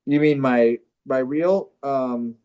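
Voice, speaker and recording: Deadpan man bantering casually. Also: medium pitch, takes it slowly, clear, resonant tone, muffled recording. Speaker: comedy show performer. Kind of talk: casual banter and script read-through.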